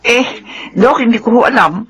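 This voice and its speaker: An actress playing a meek, apologetic, flustered secretary in a Filipino radio drama. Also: female voice, a little high, moderate pace, fairly bright tone, breathy edge, rough recording.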